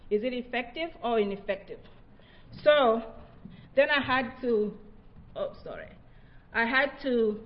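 A woman's voice: fairly high pitch, lo-fi sound. A middle-aged woman from Tanzania, whose first languages are Haya and Swahili, giving an earnest, reflective research talk with frequent restarts. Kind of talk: presentation talk.

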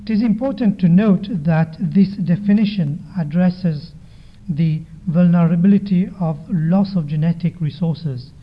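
A soft-spoken man giving a formal conference presentation into a microphone, measured and pausing often with hesitations. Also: high-pitched. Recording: dull lo-fi recording.

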